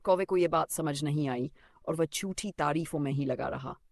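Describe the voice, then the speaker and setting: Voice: medium pitch, normal speed, creaky, no room sound, clean studio sound. A narrator reading a scripted children's story.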